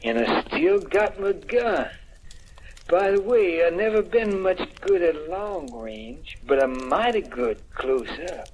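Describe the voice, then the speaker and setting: A man acting as a menacing but gullible jealous husband in an old radio mystery comedy, speaking with deliberate threat. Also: sits high, big pitch swings, creaky, full character voice, low-quality recording. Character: menacing, slow-witted jealous husband with a gun. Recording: old radio recording with faint noise.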